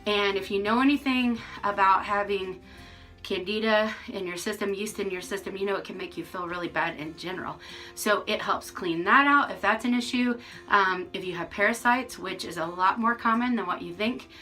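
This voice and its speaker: A woman's voice: medium pitch, normal speed, sharp tone, soft background music. A woman in her forties giving a casual, frank vlog update to camera from her rural home, with lots of ums and restarts. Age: 40s. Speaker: vlogger.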